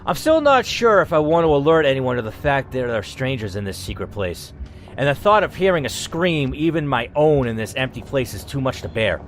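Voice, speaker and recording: A man hosting a dramatic story reading, who reacts out loud and swears as he weighs each choice. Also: medium pitch, big pitch swings, brisk, slight background noise. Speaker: host reading an interactive story.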